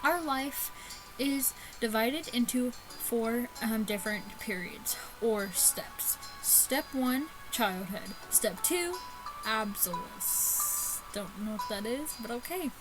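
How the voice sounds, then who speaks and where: A woman's voice: a little high, animated, slow pace, low-quality recording. A woman hosting a gaming video with casual, chatty let's-play commentary, stumbling over words as she reads aloud. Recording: music behind the voice.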